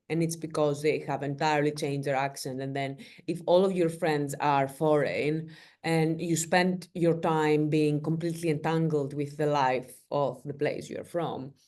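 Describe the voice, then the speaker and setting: Low-voiced woman with a Greek accent. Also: a little mellow. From Greece. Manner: thoughtful, halting starts. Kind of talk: casual group discussion.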